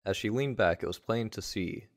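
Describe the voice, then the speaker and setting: A man's voice: on the low side, normal speed. A man narrating a scripted first-person story in a wry manner.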